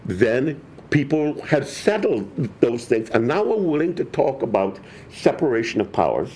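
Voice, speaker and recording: An older man, a professor guest, explaining history in a halting, restarting way full of false starts. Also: mid-range voice, a little hiss or hum. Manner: halting, restarts often, animated. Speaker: political science professor guest. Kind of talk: conversational history discussion.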